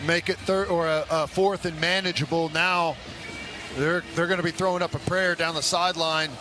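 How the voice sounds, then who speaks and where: A man's voice: a little high, flat delivery, moderate pace, over music. A man giving conversational analysis of each play during a live football radio broadcast. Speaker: radio color commentator. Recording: noisy stadium broadcast.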